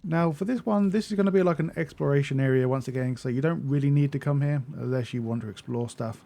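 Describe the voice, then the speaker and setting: A man's voice: medium pitch, fast talker, vocal fry, mellow. A man giving a casual video game walkthrough as a guide narrator.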